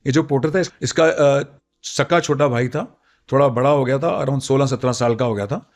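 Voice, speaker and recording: A man's voice: medium pitch, fairly even intonation, normal speed. Middle-aged Indian man recounting army memories. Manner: code-switches between Hindi and English. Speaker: retired army colonel, interview guest.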